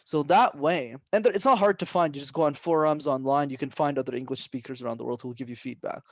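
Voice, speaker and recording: An energetic young man speaks in a fairly high voice with lively emphasis. He checks in with "right?" as he talks. The sound is dry and close, with little room reflection. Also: fast talker, no echo. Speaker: guest, public speaking coach. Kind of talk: casual podcast interview.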